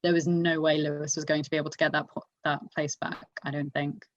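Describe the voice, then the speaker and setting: A woman's voice: sits low, level tone, fast talker, no room sound. A woman hosting a casual motorsport discussion, talking things through in an analytical, explanatory way. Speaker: podcast host. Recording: dull, muffled lo-fi audio.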